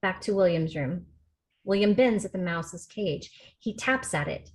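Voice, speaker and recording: A woman's voice: lowish voice, lively intonation, a little slow. A woman reading stage directions as the narrator at a film script table read. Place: remote video call rehearsal.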